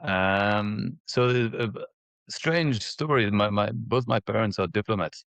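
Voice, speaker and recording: A man in his forties from Ethiopia and Mauritius reminiscing in a casual interview, haltingly, repeating words as he thinks. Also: lowish voice, fairly bright tone, no echo. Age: 40s. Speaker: interview guest, former professional tennis player.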